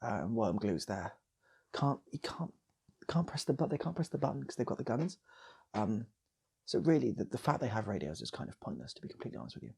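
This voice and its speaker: A man improvises a comic tale in a loose, repetitive ramble. His voice is very husky and breathy with some fry, and his pitch moves around in a lively way. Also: fairly quick. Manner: rambling, repeats phrases for effect. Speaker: storyteller and channel host. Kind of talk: loose improvised comic storytelling, acting out characters.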